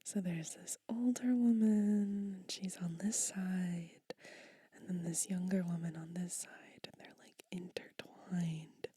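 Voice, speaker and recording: A woman whispering softly through a book reading for ASMR, pausing to comment between verses. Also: lowish voice, fairly even intonation, breathy edge. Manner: soft whisper, unhurried, reads verses aloud. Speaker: ASMR reader.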